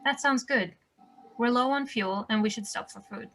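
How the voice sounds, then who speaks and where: A woman's voice: fairly high pitch, moderate pace, a bit bright, slight background noise. A woman at a table read playing a practical, bossy woman who takes charge. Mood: reasoning.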